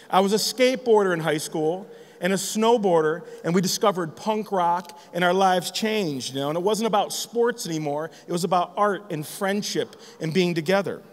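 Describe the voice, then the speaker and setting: A high-pitched man in his forties from northern Michigan holds forth from a conference stage, cursing freely and teasing a quiet crowd. His voice carries a long reverb tail from the big hall around him. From northern Michigan, United States. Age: 40s. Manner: brash, joking, swears freely, chides the crowd. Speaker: graphic designer giving a conference talk. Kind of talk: loud, profane stage talk with banter to the audience.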